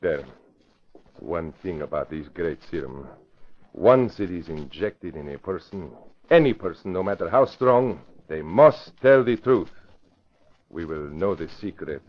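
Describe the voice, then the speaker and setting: A man's voice: deep voice, animated, measured pace. A man playing a menacing, gloating foreign spymaster with stilted, formal phrasing in a 1940s style radio drama. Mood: scheming. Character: male foreign spy chief, agitated, menacing, gloating. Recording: muffled old radio recording.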